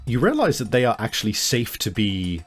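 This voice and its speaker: A man improvising narration as game master of a tabletop game, in a halting, conversational way. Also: lowish voice, wildly swooping pitch, breathy edge. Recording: music behind the voice. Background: music.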